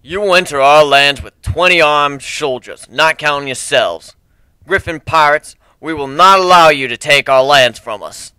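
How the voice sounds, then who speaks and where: Guy doing stubborn panther tribe boss, growly and formal, loud tense delivery, dry sound, fantasy story. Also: on the high side, measured pace, clean tone, no room sound, low-quality recording. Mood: defiant.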